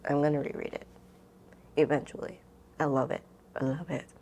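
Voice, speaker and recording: A woman's voice: deep voice, flat delivery, normal speed, gravelly, warm tone. A woman book vlogger talking casually and informally, rambling and thinking aloud as she answers questions. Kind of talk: casual chatty vlog, answering tag questions.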